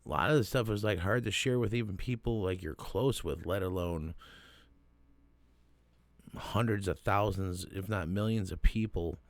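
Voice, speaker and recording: Low-voiced dude slowly chatting about an album, swears a lot, dry close sound. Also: male voice, no room sound. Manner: profane, laid-back, frequent fillers. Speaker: reaction video host. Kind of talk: casual album reaction commentary.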